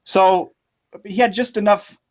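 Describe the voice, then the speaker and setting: A man's voice: sits high, fairly even intonation, unhurried. A man co-hosting a casual podcast, giving blunt opinions. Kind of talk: casual chat.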